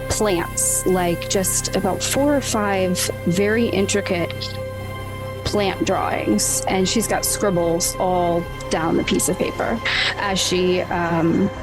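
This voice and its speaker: A woman's voice: lowish voice, steady tone, slow, music in the background, poor audio. A woman playing a tabletop roleplay, improvising narration in a casual, hesitant way as she thinks aloud. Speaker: player in a tabletop roleplay show.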